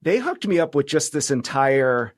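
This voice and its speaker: A middle-aged American man chatting casually as a podcast guest, reminiscing in a halting way. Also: medium pitch, very animated, no room sound.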